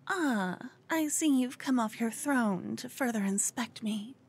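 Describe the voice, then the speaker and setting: A woman's voice: a little high, animated, unhurried, full character voice. A woman voicing a cute, obsessed human fangirl in an ASMR roleplay, stammering, flustered and brazen, often slipping toward a whisper. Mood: nervous, defiant. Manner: stammering, breathy, dropping into whispers. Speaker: ASMR voice performer.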